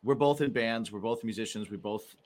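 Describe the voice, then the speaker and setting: A man co-hosting a podcast, speaking softly and explaining with small hesitations in casual chat. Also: lowish voice, a little fast, slight background noise. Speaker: podcast co-host.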